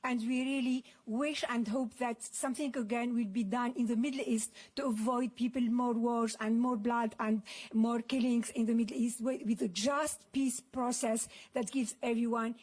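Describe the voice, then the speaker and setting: A woman journalist from Tunisia asking a question at a press conference, speaking haltingly with many fillers. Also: on the high side, fairly even intonation, darkish tone. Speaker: newspaper journalist.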